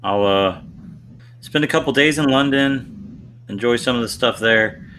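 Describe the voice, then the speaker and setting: A man's voice: mid-range voice, a little slow, a bit bright, slight background noise. An American man co-hosting a podcast, bantering in easy casual chat. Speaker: podcast co-host.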